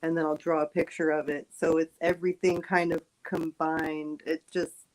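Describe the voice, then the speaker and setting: A woman, an artist guest, answering questions slowly and thoughtfully. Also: low-pitched, flat delivery, darkish tone, rough recording. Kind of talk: casual interview.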